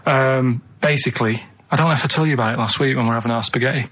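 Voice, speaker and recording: A man's voice: medium pitch, very fast, fairly bright tone, dull audio. A man telling a casual, rambling story on the radio in an earnest, hesitant, matter-of-fact way. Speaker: radio show regular.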